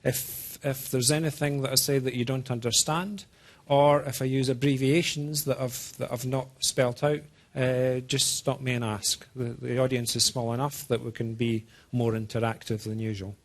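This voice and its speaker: A man's voice: medium pitch, level tone. Man with a half-Scottish, half-American accent. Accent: Scottish-American mix. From Scotland. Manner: deliberate, halting delivery, checks in with listeners. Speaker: software program manager giving a presentation. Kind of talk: informal technical talk to a small audience.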